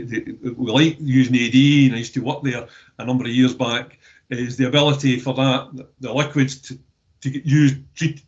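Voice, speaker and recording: Halting Scottish man on a call. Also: mid-range voice. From Scotland. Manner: halting, many repeated words and restarts. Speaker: recycling company representative. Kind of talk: webinar discussion. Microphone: video call. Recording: dull lo-fi call audio.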